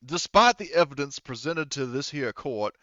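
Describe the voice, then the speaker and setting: A man's voice: mid-range voice, lively intonation, normal speed, sharp tone, dry recording, muffled recording, pitched above the performer's own voice. Man playing an insistent, formal male defense lawyer. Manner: formal, rhetorical, emphatic.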